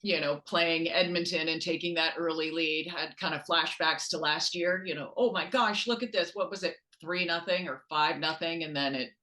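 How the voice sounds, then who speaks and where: Excitable woman, slightly low voice. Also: normal speed, hardly any pauses. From Canada. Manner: excitable, animated storytelling, joking. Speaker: podcast guest, sports PA announcer. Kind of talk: casual sports chat.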